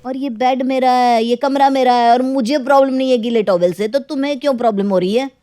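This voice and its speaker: A woman's voice: sits high, fairly even intonation, fairly quick, dark tone, lightly acted. A woman voicing a selfish, irritable young husband with a brusque, dismissive way of talking in a story.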